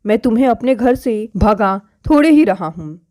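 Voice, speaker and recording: A woman narrator reads as a husband defending himself in a domestic storybook tale. The voice is restrained and explanatory, and fairly high in pitch. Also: expressive, normal speed, darkish tone, close to an everyday voice. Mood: defensive. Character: self-justifying husband with a second wife.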